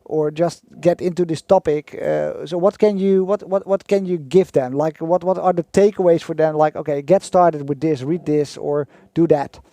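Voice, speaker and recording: A man's voice: on the high side, steady tone, moderate pace, few pauses, warm tone, no room sound. A man co-hosting a podcast, asking questions hesitantly with many fillers and repeated words. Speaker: podcast co-host. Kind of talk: casual podcast chat.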